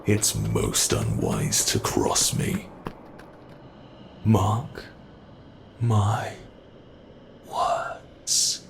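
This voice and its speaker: A man playing a menacing monster in an ASMR roleplay, taunting captives with theatrical, deliberate mock politeness. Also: deep voice, big pitch swings, gravelly, noisy background, exaggerated voice. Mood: irritated, threatening.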